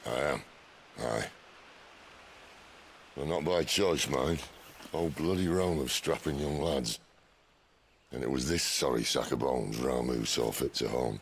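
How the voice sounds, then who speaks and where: An actor playing a weary, wry, middle-aged outlaw leader in a video game. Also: male voice, a little hiss or hum. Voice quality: deep gravelly. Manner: wry, unhurried. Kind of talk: video game cutscene acting.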